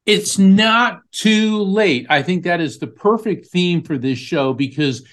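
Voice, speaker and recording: A man, a psychic medium, hosting a call-in show and speaking emphatically in a lively storytelling way. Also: high-pitched, slow pace. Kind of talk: call-in radio show chat.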